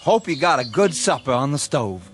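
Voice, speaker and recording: A man's voice: medium pitch, big pitch swings, normal speed, slight background noise, close to an everyday voice. An actor playing a plain-spoken, indignant young country man in a radio drama, with a folksy rural American accent. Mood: easygoing. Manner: folksy, incredulous.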